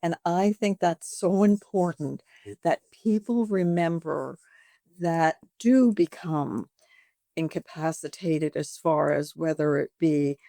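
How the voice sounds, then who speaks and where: A woman's voice: low voice, slow, mellow, no echo. A woman in her seventies, a boutique owner, chatting animatedly in an interview and acting out conversations with customers. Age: 70s.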